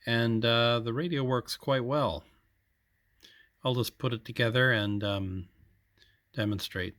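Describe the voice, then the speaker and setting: A man giving an unscripted how-to narration as a hobbyist, matter-of-fact and hesitant, often pausing mid-sentence. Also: mid-range voice, steady tone, fairly bright tone, dry recording, clean studio sound. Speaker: hobbyist electronics repair demonstrator.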